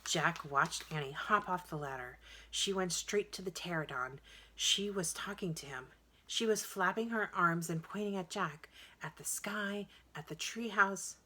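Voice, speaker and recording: Female storybook narrator, lower-pitched, expressive and dramatic, reading for children. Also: a little slow. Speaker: storybook reader.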